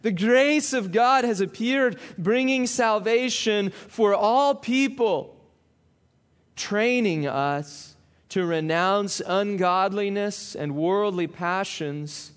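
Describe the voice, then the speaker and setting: A man preaching a sermon, reading scripture slowly and then talking in a conversational way with small asides to the congregation. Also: high-pitched. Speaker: pastor.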